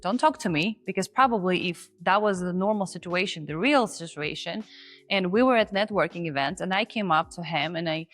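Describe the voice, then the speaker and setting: A woman who is not a native English speaker, hosting and teaching in a chatty, animated way. She breaks off now and then to act out example voices. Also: fairly low pitch, fairly quick, faint music behind. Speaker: confidence coach and host. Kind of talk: casual on-camera teaching.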